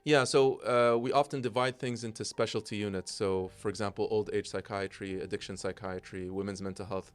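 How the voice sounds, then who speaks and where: A man's voice: fairly low pitch, steady tone, a little fast, few pauses, smooth, soft background music. A middle-aged man with an American accent, a psychiatrist explaining his career as a talk show guest in an articulate, flowing way. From United States.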